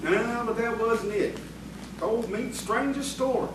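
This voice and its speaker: A man's voice: very high voice, unhurried. A man in an audio theatre play acting a folksy village blacksmith with a rustic storyteller's way of talking. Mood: sly, confiding. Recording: echoey room, distant mic, clear noise.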